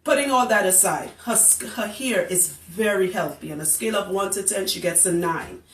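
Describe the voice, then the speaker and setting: A woman's voice: mid-range voice, moderate pace, fairly bright tone, slight background noise. A woman hosting a casual live stream about hair care, blunt and teasing, who laughs often. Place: indoors in a room, live broadcast. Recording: roomy, distant live-stream audio.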